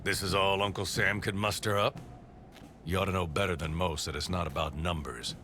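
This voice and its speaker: An actor playing a gruff, foul-mouthed American military officer in a video game, blunt and sardonic, with a swaggering delivery. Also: male voice, sits low, fairly even intonation, moderate pace, bright, forceful tone, soft background music. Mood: sardonic, menacing. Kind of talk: video game cutscene acting.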